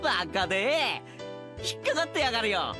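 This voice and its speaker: A woman's voice: a little high, sing-song, fast. A woman voicing a mischievous teenage boy in a Japanese anime visual novel. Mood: mocking, amused. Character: teenage anime boy, mischievous, putting on polite phone manners. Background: game music.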